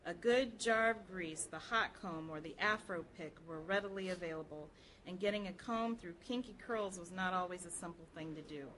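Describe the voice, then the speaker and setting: A woman's voice: on the low side, normal speed, a bit bright. An American woman reading aloud from a nonfiction history book at a live public program. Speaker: public reader at a library program. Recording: clearly noisy live event recording.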